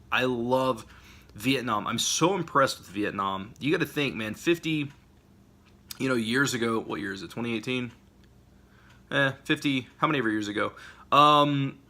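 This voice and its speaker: A man's voice: medium pitch, bright, forceful tone. A man talking casually to camera in a vlog, rambling and enthusiastic, with frequent fillers and restarts. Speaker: language vlogger.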